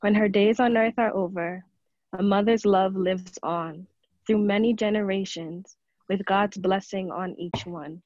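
A woman's voice: mid-range voice, steady tone, measured pace, a little mellow, no room sound, lo-fi sound. A woman reciting a devotional poem as a congregation member over an online call.

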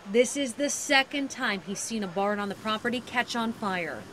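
A woman's voice: a little high, expressive, moderate pace, fairly bright tone. An American woman in her thirties giving a television news report from the field. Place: outdoors by farm fields. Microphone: handheld reporter microphone. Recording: light outdoor background noise.

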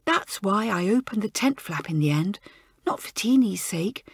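A woman reading aloud, voicing an ashamed schoolgirl who sobs and pleads as she confesses her fear of the dark. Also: mid-range voice, animated, normal speed, breathy edge, no room sound, studio quality. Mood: tearful. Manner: sobbing, pleading.

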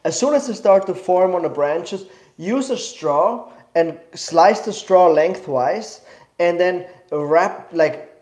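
A man's voice: sits high, expressive, slow pace, darkish tone, reverberant. Eager young man from Switzerland. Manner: eager, rambling, self-correcting. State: slight cough. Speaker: bonsai demo host. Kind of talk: live demo teaching. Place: indoor studio.

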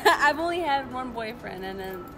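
A woman's voice: fairly high pitch, animated, a little slow, fairly bright tone, soft background music, low-quality recording. A young woman giving giggly, hesitant answers in a casual interview at a store. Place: mall store.

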